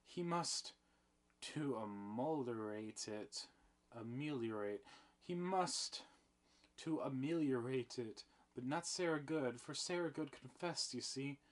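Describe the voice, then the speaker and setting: A man's voice: medium pitch, takes it slowly, lightly acted. A man doing a trembling frightened servant girl. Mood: shaken.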